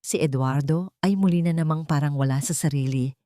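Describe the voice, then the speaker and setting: Filipino woman narrating, slightly low voice. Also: fairly quick, mellow, no echo, studio quality. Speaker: audiobook narrator. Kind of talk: dramatic scripted story narration.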